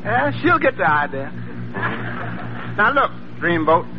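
An actor playing a polite, wry employee who gently needles his boss in a 1940s radio comedy. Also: high-pitched, sing-song, slow, over loud music, full character voice. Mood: wry, teasing. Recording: muffled old radio recording.

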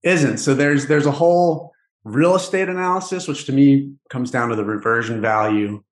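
A man's voice: medium pitch, moderate pace, reverberant. A man, a podcast guest, explaining investing loosely with many fillers. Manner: loose, filler-heavy, "you know". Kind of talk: casual explanatory conversation.

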